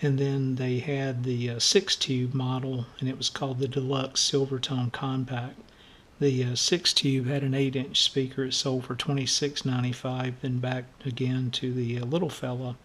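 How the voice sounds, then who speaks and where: Man, scratchy soft voice, even monotone pitch, casual show-and-tell, lots of uh and um. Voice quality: halting, many uh and um fillers. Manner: friendly, storytelling. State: scratchy, somewhat soft voice from allergies. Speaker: radio restoration channel host.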